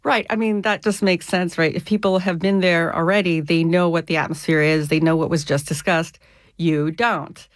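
Low-voiced woman author explaining. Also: fairly even intonation, normal speed, dry recording. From United States. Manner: articulate, explains with everyday examples. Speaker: author, interview guest. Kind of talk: radio interview.

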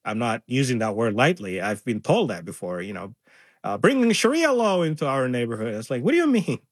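A man's voice: medium pitch, very animated, brisk, no echo, clean studio sound. Earnest man. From Somalia. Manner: earnest, voices others' words animatedly. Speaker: interview guest. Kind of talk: conversational interview.

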